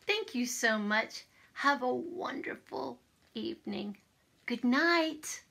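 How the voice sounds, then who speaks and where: Middle-aged female children's storytime presenter, higher-pitched voice, animated delivery addressed to little ones. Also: slow pace, gravelly. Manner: animated, addressing young viewers directly. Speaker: children's story time host. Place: small furnished room indoors.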